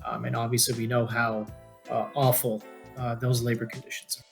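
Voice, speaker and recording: A man's voice: medium pitch, flat delivery, moderate pace, creaky, faint music behind. A man co-hosting a podcast in casual chat, informal and mildly profane, often checking in with rhetorical questions. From Florida, United States. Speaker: podcast co-host.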